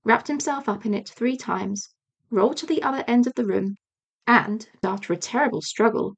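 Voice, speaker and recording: A woman reading a children's storybook as an audiobook narrator, measured and expressive. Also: on the high side, vocal fry. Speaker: audiobook reader.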